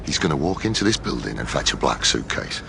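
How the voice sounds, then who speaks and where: An actor playing a gruff, impatient police detective in a radio drama, blunt and bossy with clipped orders. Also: male voice, a bass voice, big pitch swings, brisk, noisy background, heavily acted. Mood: instructing.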